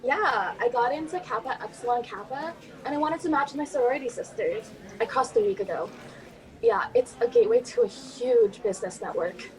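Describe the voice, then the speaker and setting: A woman's voice: high voice, big pitch swings, moderate pace, a little mellow, rough recording. A young woman playing a trendy college sorority member in an amateur online drama, talking in a Valley Girl style. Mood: breezy. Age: young adult.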